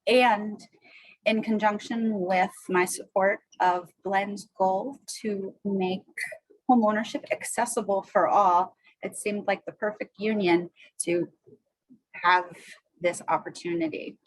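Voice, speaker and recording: A woman's voice: mid-range voice, fairly even intonation, takes it slowly, no room sound, low-quality recording. A woman moderating an online panel, making upbeat, scripted-sounding introductions over a video call.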